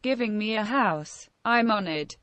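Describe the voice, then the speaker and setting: A woman's voice: mid-range voice, lively intonation, measured pace, a bit bright. A woman playing a resolute, blunt adventurer in a fantasy game. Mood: resolute, questioning. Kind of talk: scripted fantasy game dialogue.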